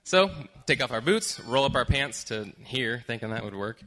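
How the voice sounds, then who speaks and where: Bright-voiced man telling a story. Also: mid-range voice, normal speed. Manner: lively, self-amused storytelling. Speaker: storyteller on stage. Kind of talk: live personal storytelling.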